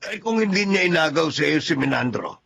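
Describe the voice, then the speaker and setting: A man's voice: fairly high pitch, expressive, brisk, creaky, a bit bright, exaggerated voice. A man playing a weary, teasing older peacemaker in a drama, chiding in a wry, exasperated way.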